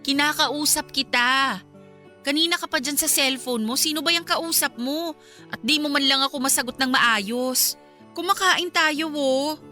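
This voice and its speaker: Hurt, suspicious young Filipino wife, radio serial; high, sharp voice over music. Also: female voice, a little slow. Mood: suspicious, exasperated. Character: hurt, suspicious young wife confronting her cheating husband. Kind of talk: Filipino radio drama acting.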